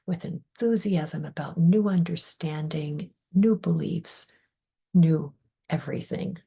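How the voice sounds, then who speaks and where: A woman leading a guided meditation in a soft, breathy, husky voice, with soothing delivery and deliberate pauses between phrases. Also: lowish voice.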